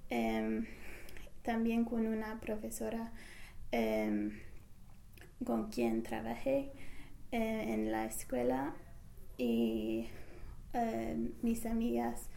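A woman speaks at a very slow, halting pace. Her voice is gravelly, with a dark, mellow tone. A faint hiss runs under the whole recording. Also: a little high, animated. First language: English. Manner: many fillers, searches for words. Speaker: interviewee, language learner back from a year abroad. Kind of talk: halting, hesitant answers.

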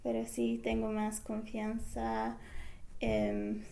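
Slow, halting woman with a gravelly voice. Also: on the high side, completely flat delivery, mellow. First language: English. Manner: many fillers, searches for words. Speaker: interviewee, language learner back from a year abroad. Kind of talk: halting, hesitant answers.

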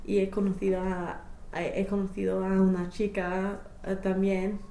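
A woman's voice: mid-range voice, level tone, moderate pace, warm tone, reverberant. A young woman, a native English speaker, answering interview questions as a language learner, hesitant with many fillers and restarts. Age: young adult.

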